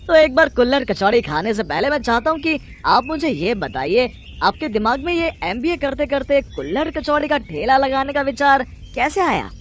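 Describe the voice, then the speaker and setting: A woman voicing an upbeat, curious male street-food interviewer in an animated story. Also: sits high, normal speed, few pauses, slight background noise. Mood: enthusiastic. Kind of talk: presenting, animated story acting.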